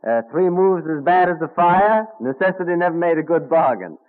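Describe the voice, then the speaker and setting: A man's voice: a little high, lively intonation, fairly quick, lightly acted. An actor playing an eager, polite, admiring young colonial tradesman in an old radio drama. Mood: eager admiration. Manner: polite, deferential, enthusiastic. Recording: tinny narrow-band vintage radio recording.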